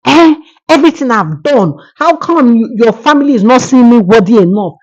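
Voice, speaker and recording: A woman's voice: on the high side, expressive, moderate pace, darkish tone, muffled recording, pitched above the performer's own voice. A woman voicing a frustrated, complaining husband in a spoken folktale. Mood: angry, frustrated. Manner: indignant, complaining. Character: frustrated, aggrieved husband demanding respect.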